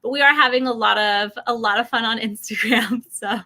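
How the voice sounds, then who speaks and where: An American woman in her thirties giving a casual, chatty product pitch in an interview, acting out little quotes as she goes. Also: a little fast. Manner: animated, uses mimicked quotes and frequent "like". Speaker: company representative presenting a product.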